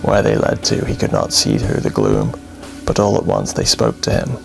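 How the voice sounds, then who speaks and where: Extremely deep, gravelly male storyteller. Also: very animated. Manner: grave, dramatic, deliberate delivery. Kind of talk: scripted horror bedtime story narration. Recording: music under the voice.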